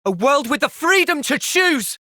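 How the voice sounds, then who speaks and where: An earnest young soldier hero from a video game, with an English accent and a close, dry sound. He is gentle and thoughtful, speaking with measured, soft seriousness. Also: extremely high pitch, very animated, no room sound, exaggerated voice. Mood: intense, earnest. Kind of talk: video game cutscene voice acting.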